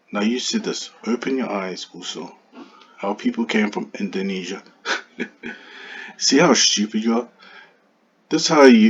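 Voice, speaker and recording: A man arguing back at a commenter in a heated monologue, firing off rhetorical questions. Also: fairly low pitch. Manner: argumentative, full of rhetorical questions. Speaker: vlogger replying to a commenter. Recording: dull lo-fi recording.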